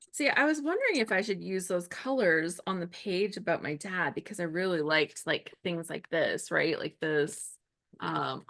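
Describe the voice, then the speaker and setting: A woman's voice: lowish voice, expressive, unhurried, vocal fry. A woman co-hosting a live scrapbooking stream, chatting casually and laughing often while she works. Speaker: co-host of a live scrapbooking crop. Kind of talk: casual chat while crafting.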